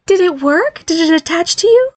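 An upbeat fairy mother from a storybook , as a woman reader puts her on, earnest and firm with her children. She coaxes and gently scolds in a raised pitch, recorded dry and close. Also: normal speed, dry recording. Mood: excited. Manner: excited, coaxing, gently scolding. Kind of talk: storybook character voice.

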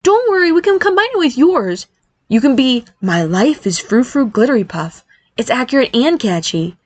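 A woman's voice: high-pitched, big pitch swings, normal speed, a little mellow, no echo, pitched above the performer's own voice. A smug little brother from a storybook , played by a woman reader, full of malicious teasing. He needles and taunts with snark, in a very dry recording. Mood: gleeful teasing. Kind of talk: storybook character voice.

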